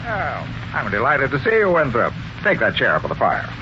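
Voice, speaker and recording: A man's voice: mid-range voice, wildly swooping pitch, normal speed, sharp tone. An actor playing a rich, domineering businessman in his sixties, bluff and patronizing, in 1940s radio style. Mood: bluff geniality. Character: rich domineering businessman over sixty, bluffly genial host. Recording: muffled 1940s radio, faint noise.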